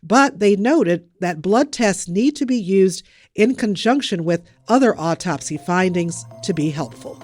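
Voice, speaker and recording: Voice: mid-range voice, animated, measured pace, a little mellow, no room sound, faint music behind. A podcast news host reading scripted narration.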